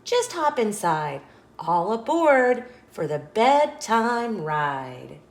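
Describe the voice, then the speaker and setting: A woman's voice: mid-range voice, sing-song, extremely slow, echoey room, full character voice. A woman voicing a small, kind, welcoming truck from a children's storybook, in a cheerful, bouncy manner.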